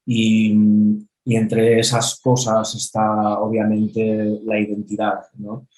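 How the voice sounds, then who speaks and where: Low, flat-voiced man. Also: rough recording. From Valencian Country, Spain. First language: Valencian. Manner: measured, thoughtful, frequent hesitant "eh" fillers. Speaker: film director, guest. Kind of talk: reflective interview answer.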